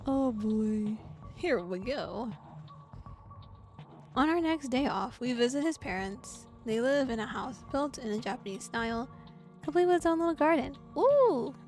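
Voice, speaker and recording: A young adult woman streaming, narrating a visual novel aloud and breaking into excited, laughing reactions. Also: sits high, unhurried, soft background music. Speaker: VTuber streamer.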